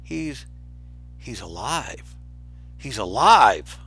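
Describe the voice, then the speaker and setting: A man's voice: medium pitch, sing-song, very slow, gravelly, fairly bright tone, faint music behind, voice pushed up, exaggerated voice. A man voicing a mystical treetop guide in a children's storybook, knowing, mysterious and emphatic. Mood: amazed.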